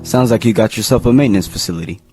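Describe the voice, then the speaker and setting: A man playing a steady, folksy leader of a survivor town in an audio drama. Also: lowish voice, very fast, faint music behind, lightly acted. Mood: measured.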